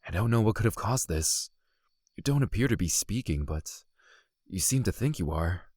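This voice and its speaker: A dutiful knight from a fantasy audio drama, acted by a man. He is low-pitched and formal, cautious and composed, recorded very dry. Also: animated, moderate pace, darkish tone, no room sound. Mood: composed, concerned.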